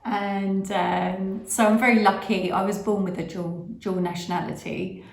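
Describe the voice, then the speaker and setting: A woman's voice: mid-range voice, steady tone, a little slow, gravelly. A middle-aged British-born woman whose first language is English, co-hosting a casual chat vlog, rambling with restarts. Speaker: vlog co-host. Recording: echoey room.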